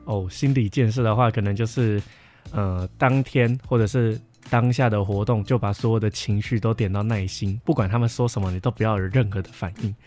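A man's voice: on the low side, steady tone, fairly quick, a little mellow, faint music behind. A young adult man with a Taiwanese Mandarin accent, chatting casually as a podcast speaker. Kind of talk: casual chat.

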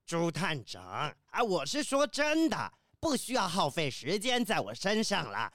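A man's voice: sits high, huge pitch swings, a little slow, a bit bright, no echo, studio quality, full character voice. A man playing a fussy, self-righteous kiwi bird professor in a children's audio drama, pedantic and defensive. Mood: defensive, indignant. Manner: pedantic, indignant, hesitant interjections.

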